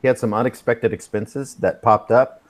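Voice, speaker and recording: A man's voice: medium pitch, fairly even intonation, moderate pace. 50s guy rambling on a live stream, swears a lot, keeps losing track. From Texas. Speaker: host. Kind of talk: casual live stream chat.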